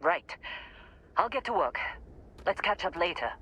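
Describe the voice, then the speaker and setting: A gruff female outpost supervisor with a low voice. She talks in a dry, blunt, no-nonsense way, naturalistic as a video game character, over faint background ambience. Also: animated, measured pace, pushed and bright, a little hiss or hum. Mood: businesslike.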